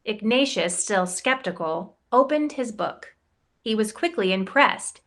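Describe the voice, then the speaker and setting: A woman who directs campus ministry reads a rhyming picture book aloud, playful and expressive, giving the quoted lines a voice of their own. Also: medium pitch, measured pace. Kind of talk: storybook read-aloud in rhyme.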